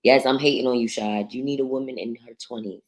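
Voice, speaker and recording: A woman from New York with a deep voice, hosting a casual livestream, reading viewer comments and talking bluntly with plenty of profanity. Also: fairly even intonation, fast talker. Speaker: livestream host.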